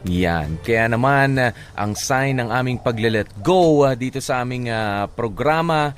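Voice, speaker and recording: A man's voice: mid-range voice, animated, unhurried. Radio host: man, average register, casual and bantering, light music bed underneath. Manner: bantering, informal.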